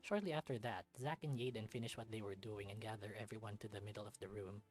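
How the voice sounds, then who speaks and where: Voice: mid-range voice, fairly even intonation, quick pace. A person reading visual novel narration aloud as a let's-read. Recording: music under the voice. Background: game music.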